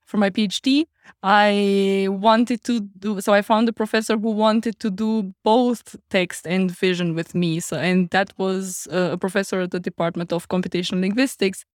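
A woman's voice: medium pitch, no room sound, clean studio sound. A young woman with a Romanian accent, a guest chatting casually on a podcast and laughing often. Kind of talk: casual chat.

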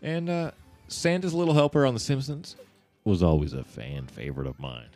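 A man's voice: mid-range voice, huge pitch swings, moderate pace, darkish tone, soft background music. A man in his thirties, co-hosting a podcast in casual chat, rambling with fillers and swearing. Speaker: podcast co-host.

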